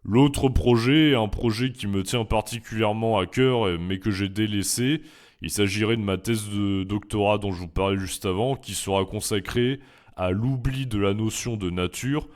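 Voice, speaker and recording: Measured, low-voiced French man. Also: a little mellow. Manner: measured, reflective, with hesitant fillers. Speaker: philosophy YouTuber and teacher. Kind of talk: reading prepared FAQ answers aloud.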